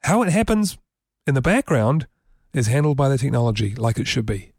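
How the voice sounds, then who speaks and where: A man's voice: mid-range voice, sing-song, a little fast, darkish tone, breathy edge, no echo. A man hosting a casual tech show from a studio, explaining features enthusiastically with many fillers. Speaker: podcast host. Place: radio studio.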